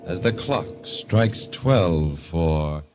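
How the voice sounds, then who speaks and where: A man announcing a golden age radio mystery show in a solemn, ominous, drawn-out dramatic style. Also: low voice, lively intonation. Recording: dull old radio recording, music underneath.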